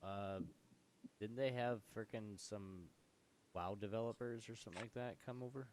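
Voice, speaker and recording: A man's voice: on the low side, fairly even intonation, normal speed, darkish tone. A man co-hosting a gaming show in a casual, informal, slangy way.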